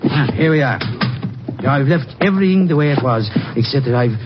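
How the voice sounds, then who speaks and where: An actor playing a feisty, talkative old farmer in a 1950s radio drama, telling things in a folksy, rambling, dramatic way. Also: male voice, medium pitch, animated, a little fast, music in the background, close to an everyday voice. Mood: excited storytelling. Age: older. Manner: folksy, rambling, dramatic storytelling with rustic turns of phrase. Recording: dull old radio recording, faint noise.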